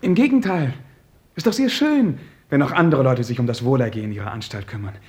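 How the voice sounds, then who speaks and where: A man acting an affable, awkward royal prince in a German radio play, courteous and halting, repeating himself, with a husky voice. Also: medium pitch, lively intonation, darkish tone. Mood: curious, affable.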